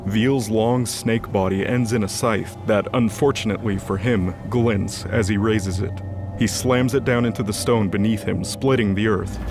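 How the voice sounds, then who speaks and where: A man narrating a scripted fantasy audio drama as its storyteller. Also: on the low side. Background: music bed.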